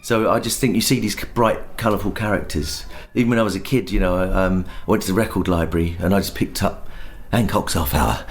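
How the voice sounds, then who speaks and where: A chatty man in his fifties with a lowish voice, talking through his career in a relaxed backstage chat. He drifts into playful voices and impressions along the way. A faint hiss of background noise sits under the recording. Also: fairly quick, hardly any pauses. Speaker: pantomime performer and impressionist. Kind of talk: casual backstage interview chat. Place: backstage at a theatre.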